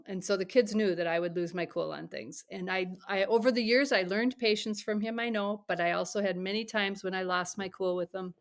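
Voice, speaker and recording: A middle-aged woman, a parent coach, speaking as a podcast guest in an earnest, advising way, sometimes dropping to a soft whisper to demonstrate. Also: mid-range voice.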